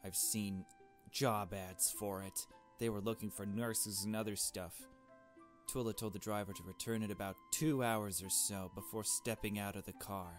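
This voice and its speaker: A man with a lowish voice reads a visual novel aloud in a casual let's play style. He mixes the narration with informal, joking asides. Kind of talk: reading game text aloud with casual commentary.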